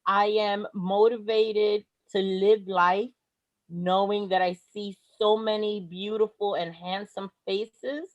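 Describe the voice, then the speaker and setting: A woman speaking as a guest on a video call, delivering a motivational talk in a slow, emphatic, earnest way. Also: medium pitch, steady tone, muffled recording. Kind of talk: motivational speech.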